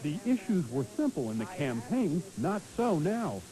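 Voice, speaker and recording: A man's voice: on the high side, big pitch swings, a little slow, warm tone, rough recording. An American man delivering scripted broadcast commentary on a hissy late-eighties television tape. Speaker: news commentator.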